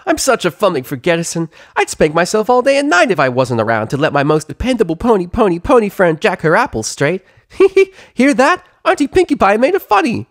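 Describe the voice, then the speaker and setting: A man's voice: sits high, wildly swooping pitch, fairly quick, no room sound, studio quality, voice pushed up, heavily acted. A man voicing a bubbly, talkative young female cartoon pony in a story reading, with gushing run-on speech. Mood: giddy. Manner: gushing, rambling, endless run-on chatter. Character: hyperactive, chatty, bubbly young female pony party girl. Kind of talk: animated fan fiction character reading.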